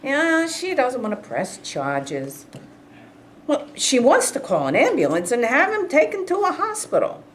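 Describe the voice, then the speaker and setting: A woman's voice: high-pitched, wildly swooping pitch, measured pace, far from the mic, exaggerated voice. A woman playing an exasperated, by-the-book police officer in a staged play reading, blunt and irritated. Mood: businesslike. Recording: echoey room from afar, clear noise.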